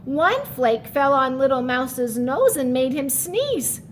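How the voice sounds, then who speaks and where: A woman reading a children's story aloud expressively, with animated explanatory asides. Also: sits high, slow, slight background noise. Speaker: story reader.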